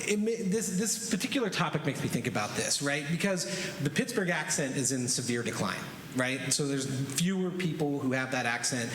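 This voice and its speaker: A man's voice: medium pitch, fairly bright tone. A man speaking on a conference panel, chatty and reflective, with casual asides. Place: large hotel ballroom. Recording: roomy ballroom reverb, faint noise.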